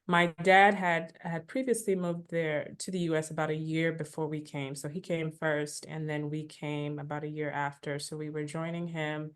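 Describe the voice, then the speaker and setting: A woman's voice: deep voice, little pitch movement, normal speed, hardly any pauses, clear, resonant tone. A woman from Africa, a podcast guest, telling her immigration story in a reflective, casual way, full of "you know" asides.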